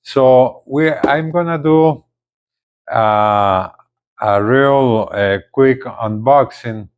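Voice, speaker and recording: A man presenting a casual unboxing video, speaking haltingly and often repeating his words. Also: medium pitch, clean tone, phone-quality sound. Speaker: gadget reviewer, video host.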